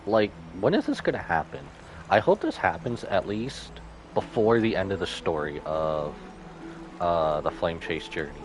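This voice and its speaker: A man's voice: low-pitched, expressive, normal speed, slight background noise. A man live-streaming casual, jokey reactions to a game, exclaiming and talking back to the characters. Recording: game music behind.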